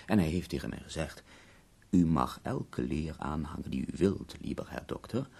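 An actor playing a bedridden, dying poet in a radio drama, ironic, eloquent and given to complaint, with a gravelly, husky voice. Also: male voice, rumbling low, animated, mellow, full character voice. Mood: wry, weary. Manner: eloquent, wry, drawn-out pauses.

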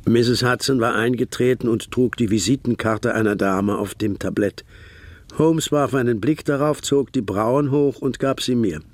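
An actor playing a devoted Victorian gentleman who narrates a German radio drama in an expressive, literary style. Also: medium pitch, moderate pace, soft background music, lightly acted. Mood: admiring, reflective.

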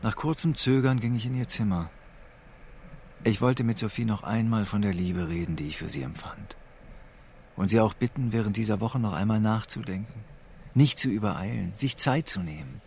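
Radio drama lead: weary homeless man, very low gravelly voice, brooding and subdued. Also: normal speed, a little mellow, slight background noise. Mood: melancholic. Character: Rico, homeless man, weary, melancholic, brooding over lost family. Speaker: narrator and protagonist. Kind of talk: radio drama first-person narration and dialogue.

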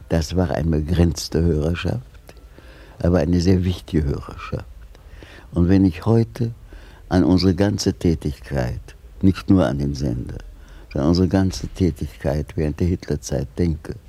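An older man giving a retrospective account in deliberate, halting phrases with pauses. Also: low voice, mellow, husky. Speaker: former activist recalling his work.

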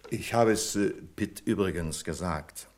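An actor in a German radio play as a fussy, self-important bank branch manager, speaking in an officious, hesitant way. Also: male voice, low voice, measured pace, background noise. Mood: confiding, aggrieved. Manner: pompous, officious, hesitating with small fillers. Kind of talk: German radio drama acting.

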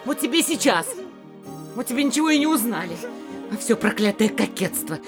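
A high-voiced, bossy mayor's wife. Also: female voice, sing-song, fairly quick, over music. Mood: scolding, sarcastic. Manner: scolding, insistent, exclamatory. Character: bossy, nosy, fretful middle-aged mayor's wife. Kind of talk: theatrical classic comedy audio play.

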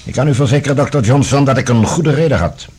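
An actor playing a composed, authoritative security general in a radio drama, speaking formally and courteously but firmly, with a husky voice. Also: male voice, medium pitch, fast talker, darkish tone. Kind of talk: Dutch radio drama acting.

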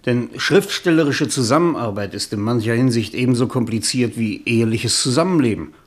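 A man's voice: medium pitch, lively intonation, brisk, natural voice. A man playing a proud, weary older novelist in a radio drama, with a formal, cultivated way of speaking. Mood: measured objection. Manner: formal, eloquent, reserved.

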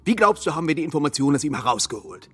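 Hot-headed militant, high male voice, scornful, urgent, cynical, radio drama. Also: big pitch swings, very fast.

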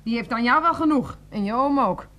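An actress playing a sarcastic, sharp-tongued wife in a radio play, mocking and defiant, with biting delivery. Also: female voice, fairly high pitch, animated, fairly quick. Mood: scornful.

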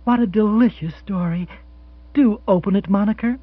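A man voicing a gushing, effusive wealthy woman guest in an audiobook, with a husky voice. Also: high voice, moderate pace, dry recording, pitched above the performer's own voice. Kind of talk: audiobook character voice. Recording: dull, dry, slightly lo-fi recording.

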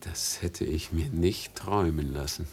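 A man acting a bitter, unforgiving grown son in a classic radio drama, husky and intense, with a sardonic, accusing way of talking. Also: a bass voice, a little slow, darkish tone. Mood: wary.

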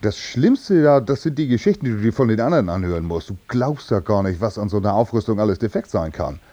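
A man's voice: fairly low pitch, animated, quick pace, dark tone. A man acting a hot-tempered, middle-aged unemployed metalworker in a radio drama, grumbling and swearing indignantly. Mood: exasperated.

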